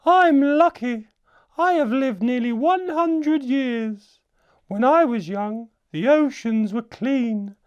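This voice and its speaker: A woman playing a huge, gentle, very old female leatherback turtle in a storybook, speaking slowly and deliberately. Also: high-pitched, smooth, dull audio. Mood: solemn. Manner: slow, deliberate, drawn-out delivery. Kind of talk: storybook character voice.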